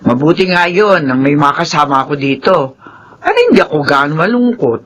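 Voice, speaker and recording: An actress playing a talkative, lonely middle-aged aunt in a radio drama, full of exclamations. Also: female voice, a little fast. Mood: wistful. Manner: animated, exclamatory, swooping pitch.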